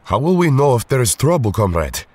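An actor playing an earnest, protective big-brother superhero in an audio drama, speaking formally and solemnly. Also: male voice, medium pitch, very animated, fairly quick, darkish tone, dry recording. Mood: concerned. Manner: formal, uncontracted speech. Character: earnest, solemn foreign strongman hero, protective older brother.

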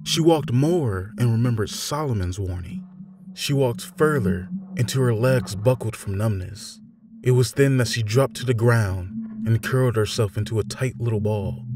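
A man narrating a scripted fantasy story in a measured, deliberate, theatrical storytelling manner. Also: medium pitch. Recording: music behind the voice. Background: background music.

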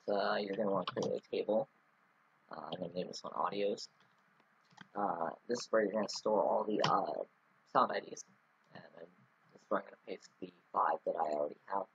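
A man's voice: on the low side, fairly bright tone, breathy edge, no echo, dull audio. A man talking through a casual screen-recorded coding tutorial, rambling with many ums and restarts. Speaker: scripting tutorial host.

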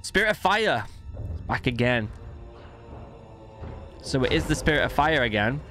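A man's voice: medium pitch, big pitch swings, a little slow, over music. Eager young man chatting casually. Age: young adult. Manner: informal, eager. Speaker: reaction video host. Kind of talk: casual chat and reactions. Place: indoor home studio. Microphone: close desk microphone on arm.

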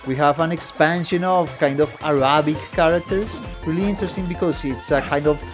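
A man's voice: fairly high pitch, brisk. A man in his thirties giving casual reaction commentary as a musician. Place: small home music room. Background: game trailer music.

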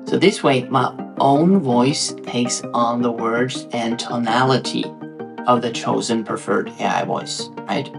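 Norwegian guy doing a tutorial to camera, talks slow, bit of fry in the voice, jokey asides, guitar music in the back. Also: mid-range voice. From Norway. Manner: playful, chatty, with asides to viewers. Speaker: tutorial creator. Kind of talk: talking-head tutorial. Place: indoor room with frosted glass behind.

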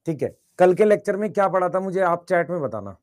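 A male teacher with a high voice, a bit hoarse today. He keeps asking the class to answer fast in the chat and repeats each point. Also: lively intonation. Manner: calls students "beta", repeats questions and urges quick chat answers. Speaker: biology teacher. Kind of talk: online class lecture.

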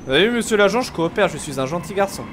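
A man's voice: high voice, animated, rapid-fire, background noise. A young man casually improvising in a role-play game as a streamer, insistent and repeating himself.

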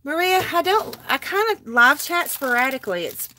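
A woman's voice: high voice, big pitch swings, normal speed, bright, forceful tone, a little hiss or hum, poor audio. A woman with a Southern US accent, chatting casually with viewers during a live crafting session at her table. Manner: chatty, talks to viewers while working. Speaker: craft livestream host. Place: indoor craft table, overhead camera.

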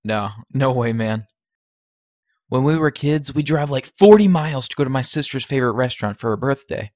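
Wistful plain-spoken man played by an actor, medium pitch, dry sound, audio drama. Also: expressive, moderate pace, darkish tone, no echo, natural voice. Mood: nostalgic. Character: wistful, wounded man reminiscing about family.

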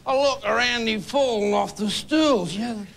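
A man's voice: very high voice, normal speed, creaky, fairly bright tone. An older man telling a long, rambling comic story on a TV stage with a handheld microphone, folksy and repeating "you know". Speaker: stand-up comedian. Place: TV studio stage.

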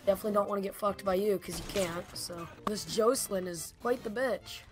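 A woman's voice: medium pitch, a little fast, music in the background. A young woman trading casual gaming trash talk, blunt, taunting and full of swearing. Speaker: guest player, the host's sister. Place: indoor home gaming room.

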